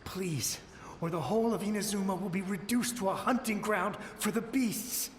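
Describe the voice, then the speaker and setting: A shell-shocked soldier's ghost, desperate and devoted to his ruler, voiced by a man in a fairly high voice. His halting pleas ring out in a roomy space with a long reverb tail and faint music behind. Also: a little hiss or hum, lightly acted. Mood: pleading. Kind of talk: video game dialogue.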